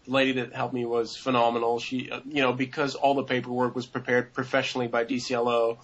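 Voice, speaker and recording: A man's voice: medium pitch, flat delivery, quick pace, a bit bright. Rambling young man, dull lo-fi audio. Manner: rambling, many fillers and restarts. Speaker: interviewee. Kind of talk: casual interview answers.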